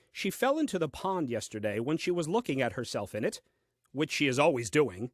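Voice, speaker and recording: A man's voice: mid-range voice, no echo, lightly acted. An actor playing a grumbling, self-important first man reading his diary in a comic audio play, with dry, fussy delivery. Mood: exasperated.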